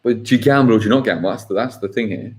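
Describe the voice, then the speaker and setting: A man hosting a live football quiz, reading questions slowly and repeating them. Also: on the low side. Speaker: quiz host.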